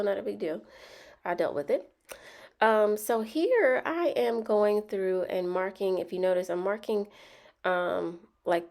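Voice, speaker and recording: A woman's voice: on the low side, darkish tone. A young woman with a Southern accent, stuffy and a bit nasal from a cold, chatting casually as she narrates her planner video, rambling with many ums and likes.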